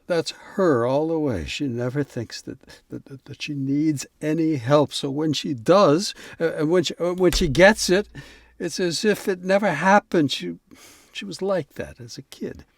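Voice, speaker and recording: Stammering, high-voiced, exasperated younger brother. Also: male voice, gravelly, dry recording, studio quality. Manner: halting, earnest, repeating words. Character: devoted, exasperated younger brother working at the family mill. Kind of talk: audio drama acting.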